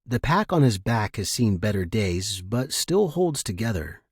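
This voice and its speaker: A man reading first-person fiction as a narrator, in a grave, measured, dramatic manner. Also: on the low side, big pitch swings, husky, dry recording, studio quality. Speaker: story narrator.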